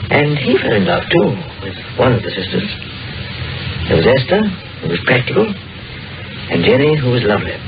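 An actor as a musing, expressive doctor, vintage radio. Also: male voice, mid-range voice, lightly acted. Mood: musing, wry. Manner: musing, storytelling, deliberate phrasing. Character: imaginative, musing, dryly amused small-town doctor, a man. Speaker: actor in a radio mystery drama. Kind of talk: old-time radio drama acting. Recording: muffled vintage radio recording with music.

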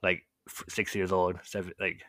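A young man from the United States, whose first language was Korean, telling his childhood story in a relaxed, rambling way, full of "like" and "um". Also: low-pitched, moderate pace, no room sound. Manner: relaxed, rambling, heavy use of "like" and "um" fillers. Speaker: podcast guest telling his life story. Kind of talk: casual storytelling chat.

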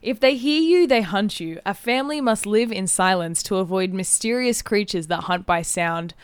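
A woman's voice: medium pitch, normal speed, smooth, no echo. Upbeat young woman, close dry sound. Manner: enthusiastic, giggly. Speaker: podcast co-host. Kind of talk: casual comedic podcast banter.

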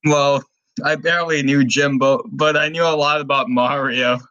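Bright-voiced man, mock-earnest. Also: mid-range voice, fairly even intonation, normal speed, dull audio. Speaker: podcast co-host. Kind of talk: improvised comedy banter.

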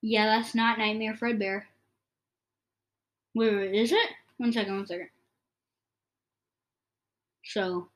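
A woman records an offhand reaction video, talking half to herself and half to viewers. Her medium-pitched voice blurts out guesses and shrugs things off in a casual, dismissive way. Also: fairly even intonation, takes it slowly, long pauses. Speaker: video creator reacting to game voice lines.

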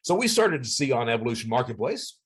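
A man telling crime stories in a casual monologue, acting out the people he quotes in a high voice. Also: sing-song, fast talker, slightly rough. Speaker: former cybercriminal host. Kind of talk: storytelling monologue.

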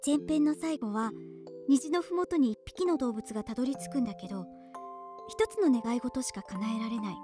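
A woman acting an earnest, gentle teenage girl who paints, speaking softly and sincerely in a Japanese radio drama. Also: fairly high pitch, normal speed, natural voice. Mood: tender, reminiscing. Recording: studio drama with music underneath.

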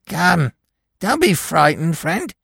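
Wicked dwarf sorcerer for a gothic story, creaky voice, swooping all over, grand and mocking. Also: male voice, a little high, big pitch swings, a bit bright, no echo, clean studio sound, voice pushed up, heavily acted. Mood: wheedling, genial. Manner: theatrical, drawn-out, archaic grandiose speech. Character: misshapen malevolent dwarf magician, imperious and mockingly genial. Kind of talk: dramatic audiobook character reading.

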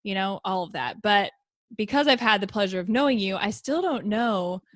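A woman hosts a podcast interview in a relaxed, chatty way, laughing often. Her voice sits mid-range and sounds dry and close. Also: mid-range voice, moderate pace, no room sound. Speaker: podcast host. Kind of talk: casual interview chat.

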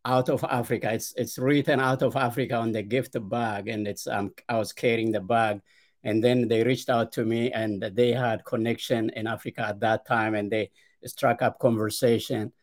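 A man's voice: medium pitch, fairly even intonation. Ethiopian-accented man telling his story. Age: middle-aged. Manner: hesitant, frequent "uh" pauses and repeated starts. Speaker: podcast guest. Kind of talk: conversational storytelling.

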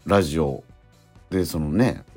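A middle-aged man hosting a radio show in casual, animated banter. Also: extremely low pitch, unhurried, breathy edge, soft background music. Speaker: radio show host and stage actor.

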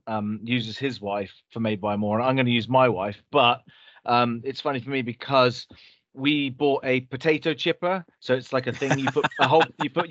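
A man's voice: medium pitch, a bit bright, no room sound, lo-fi sound. A man co-hosting a podcast, telling a story in rambling, self-correcting casual chat. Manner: rambling storyteller, many restarts and fillers. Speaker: podcast co-host.

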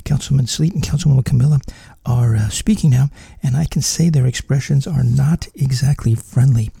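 A man voicing a serious male field reporter who describes a tense meeting in a hushed, careful way. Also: mid-range voice, fairly quick, gravelly, breathy edge, no room sound, clean studio sound, exaggerated voice. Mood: grave. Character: measured, serious male reporter outside a mansion.

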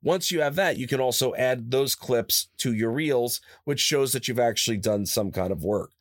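Man, casual interview chat, animated storyteller, repeats himself, mid-pitched, dry studio sound. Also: moderate pace, no echo. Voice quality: higher-pitched natural voice. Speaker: voice actor guest. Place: his own studio. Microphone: studio microphone, close.

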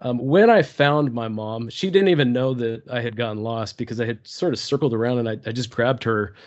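A man from Turkey, a podcast guest telling a personal story slowly and thoughtfully, often restarting his sentences. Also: a little mellow. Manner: slow, thoughtful, many restarts. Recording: dull low-fidelity podcast audio.

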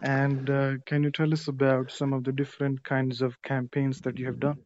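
A man's voice: medium pitch, moderate pace, no echo. A man hosting a podcast who asks questions in a casual interview with short prompts and fillers. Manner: flat, brief prompts with fillers. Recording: dull muffled dry recording.

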